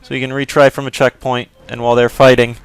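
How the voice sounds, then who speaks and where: A young man giving casual, rambling commentary while he plays a video game, with lots of fillers and sudden shouts. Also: mid-range voice, flat delivery, quick pace, clean tone. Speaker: gameplay video host. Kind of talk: casual let's play commentary.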